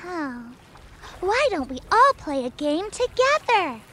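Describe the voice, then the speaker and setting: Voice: extremely high pitch, very animated, slow pace, full character voice. Tiz sesli, neşeli küçük kız. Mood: playful. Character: kind, sensible, cheerful little girl. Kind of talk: children's cartoon voice acting. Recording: loud music bed behind the voice.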